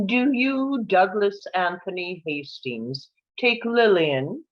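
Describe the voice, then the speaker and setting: Slow-speaking female actor as wedding officiant, formal and ceremonial, slightly dull audio. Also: mid-range voice, lively intonation. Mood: solemn. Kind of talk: screenplay table read.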